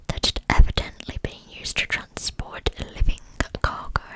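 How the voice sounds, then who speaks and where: A woman narrating a true crime story as ASMR, in an unvoiced, breathy whisper with a measured, hushed delivery. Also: poor audio. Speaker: ASMR channel host, narrator.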